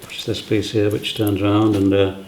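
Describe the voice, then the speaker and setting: A man with a lowish voice gives an informal interview explanation. He is halting and matter-of-fact, and the room around him echoes noticeably. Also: completely flat delivery, darkish tone, a little hiss or hum. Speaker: theatre set designer.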